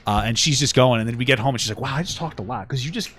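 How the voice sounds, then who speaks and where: A young man co-hosting a casual couple podcast at home, rambling and profane, often dropping into mocking high-pitched voices. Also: very fast, a bit bright, slight background noise. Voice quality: smooth voice. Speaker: podcast co-host. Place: home room with a fan.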